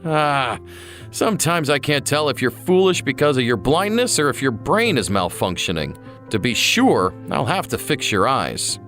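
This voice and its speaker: A man voicing a vain, anxious young celebrity in an animated story, with a theatrical, exasperated way of talking. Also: medium pitch, normal speed. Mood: teasing, smug. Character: tall, vain, anxious young male celebrity, teasing and proud. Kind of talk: animated story character dialogue. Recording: voice over background music. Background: music.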